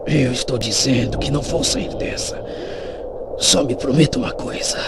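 A man's voice: medium pitch, moderate pace, natural voice. A man playing a badly injured, frightened pilot in a dubbed video game, sounding strained, weak and in pain. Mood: resigned, weak. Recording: very noisy game audio with ambience.

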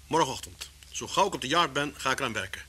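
An actor playing a lively, wry, brisk detective in a vintage radio drama. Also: male voice, mid-range voice, husky, exaggerated voice. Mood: excited. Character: lively male Scotland Yard detective, wry, brisk husband. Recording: noisy old radio recording with hum.

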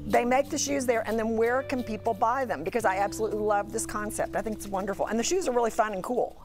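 A woman's voice: fairly high pitch, fast talker, over music. A middle-aged woman interviewing conversationally as a morning television host. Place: bright glass-walled TV studio. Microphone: lapel mic.

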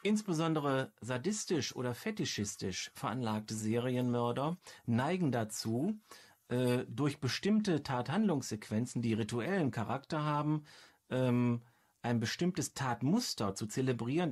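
A man giving an expert interview explanation in halting, academic wording. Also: medium pitch, expressive, darkish tone.

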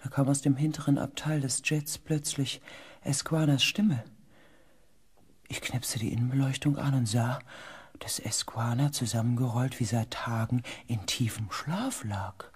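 An actor playing a shaken space expedition member in a radio drama, with a husky, breathy voice and a hushed, halting manner. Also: male voice, medium pitch. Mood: tense, hushed. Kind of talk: radio drama narration and acting.

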